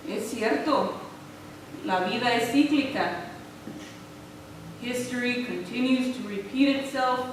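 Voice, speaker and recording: A woman playing a wise, steadfast Mexican American grandmother in a staged reading, speaking in measured, earnest English mixed with Spanish. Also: on the high side, fairly bright tone. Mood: solemn, inspiring. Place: theater stage, recorded from the audience. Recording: echoey hall from far off, faint noise.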